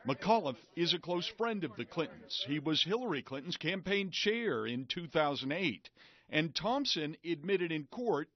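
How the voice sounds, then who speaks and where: A man narrates a news package in a steady, scripted read. His voice sits a bit high. The audio is muffled and lo-fi. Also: unhurried. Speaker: news reporter voice-over. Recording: dull lo-fi broadcast audio.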